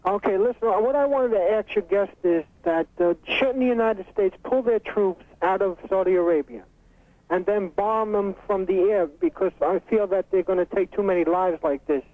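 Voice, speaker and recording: A man's voice: high-pitched, normal speed, dry recording. A man calling in to a radio show, casually putting a question to the guest. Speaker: radio call-in caller. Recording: thin, dry telephone line.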